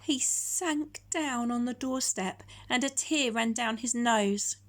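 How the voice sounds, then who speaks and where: Slow, expressive woman reading a story. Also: a little high. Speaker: storytime reader. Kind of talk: scripted children's story narration.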